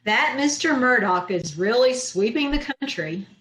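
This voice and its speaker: A woman's voice: on the high side, lively intonation, moderate pace, a bit bright. Echoey, wisecracking woman teacher, played by an actress. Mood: dry, sardonic. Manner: wry, sarcastic comebacks. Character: wisecracking, dry-witted woman high school teacher. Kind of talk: old-time radio comedy acting. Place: echoey room, remote video-call performance. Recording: echoey room over video call.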